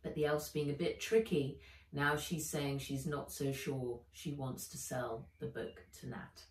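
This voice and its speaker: A woman author reading her children's adventure book aloud with dramatic, deliberate storybook narration. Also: low-pitched, steady tone, slight background noise. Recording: roomy echo, a little far from mic.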